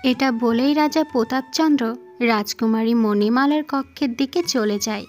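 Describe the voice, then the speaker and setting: High-voiced woman narrating a fairy tale. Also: moderate pace, a little mellow. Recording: music under the voice.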